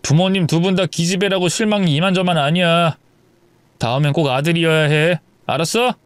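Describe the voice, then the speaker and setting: A man's voice: a little high, fairly even intonation, a little fast, clear, resonant tone, dry recording, lightly acted. A man voicing an impatient young husband who snaps at his wife. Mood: irritated. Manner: curt, exasperated.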